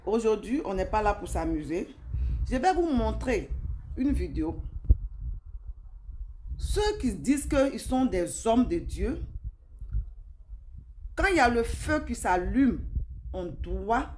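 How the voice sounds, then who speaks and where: A woman's voice: mid-range voice, big pitch swings, takes it slowly, pauses often, a little mellow, a little hiss or hum. A woman giving informal video commentary, speaking emphatically, repeating herself and addressing her viewers directly. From Africa. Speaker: social media commentator.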